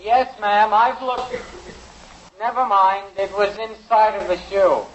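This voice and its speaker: An actor playing an excitable, aggrieved fifteen-year-old boy, griping in a boyish 1950s radio drama manner. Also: high voice, slow pace, slight background noise. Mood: flustered. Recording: dull 1950s radio recording.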